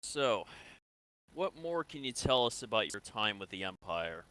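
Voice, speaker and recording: A man's voice: on the low side, big pitch swings, unhurried, bright, forceful tone, rough recording. A man improvising a casual, conversational interrogator role in online game voice chat. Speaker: roleplayer acting as an interrogator.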